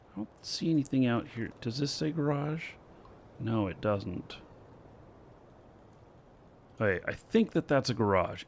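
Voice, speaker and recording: Unhurried man musing through a game. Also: mid-range voice, a little hiss or hum. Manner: slow, thinking aloud with many fillers. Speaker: game streamer. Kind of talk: casual gameplay commentary.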